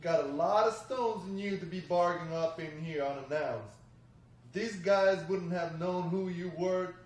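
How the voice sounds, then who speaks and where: A stern, menacing gang leader read by a man with a fairly high voice. He talks deliberately and guardedly in a drama table read, off the mic in a reverberant room. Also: darkish tone. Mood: wary. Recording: echoey room, distant mic.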